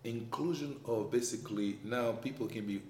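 A man with a French accent talking in a casual conversation, emphatic and animated, his voice rising when he makes a point. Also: mid-range voice, moderate pace. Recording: echoey room, faint background noise.

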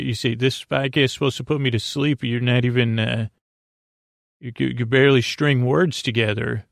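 Creaky-voiced man, meandering bedtime podcast host. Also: mid-range voice, no room sound, clean studio sound. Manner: meandering, halting, trips over words, repeats himself; gentle, reassuring. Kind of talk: rambling, soothing bedtime podcast monologue.

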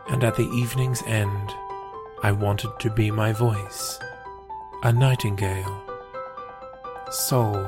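A man reciting poetry for a podcast in a measured, deliberate manner. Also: fairly low pitch. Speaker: poetry podcast host and reader. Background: music.

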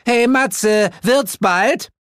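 Mann spielt schrille, hysterische Dämonenmutter. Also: male voice, drawn-out words, fairly bright tone, no echo, clean studio sound, full character voice. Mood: impatient. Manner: shrill, screeching. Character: hysterical, vain demon mother woman, shrill and frazzled.

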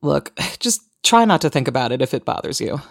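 A woman's voice: low-pitched, very animated, a little fast, creaky, no room sound, studio quality, heavily acted. An actress playing a practical, dismissive woman podcaster with an American accent, casual and matter-of-fact in a naturalistic audio drama. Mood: dismissive, reassuring.

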